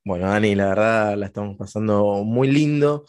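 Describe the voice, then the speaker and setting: A man with an Argentine accent hosts a relaxed conversation, winding through long questions with plenty of fillers. His voice sits slightly low. He sounds easygoing and talkative. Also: normal speed, smooth. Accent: Argentine Spanish. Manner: chatty, rambling questions with fillers. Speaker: host. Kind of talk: casual interview conversation.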